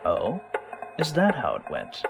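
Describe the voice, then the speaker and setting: An actor voicing a sly young male swordsman who teases and probes his rival in an animated series. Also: medium pitch, unhurried, gravelly, heavily acted. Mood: needling. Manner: teasing, needling. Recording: animation audio with loud music.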